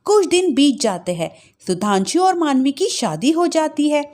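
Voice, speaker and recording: A woman's voice: sits high, very animated, moderate pace, a little mellow. A woman storyteller giving scripted narration of a family moral tale.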